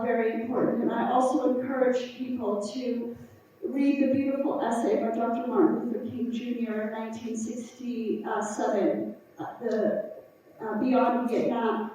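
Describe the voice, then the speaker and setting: A woman's voice: a little high, warm tone, slight background noise. A woman on a conference panel, speaking in an earnest, emphatic way. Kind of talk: panel discussion. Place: conference hall. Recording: echoey hall, distant mic.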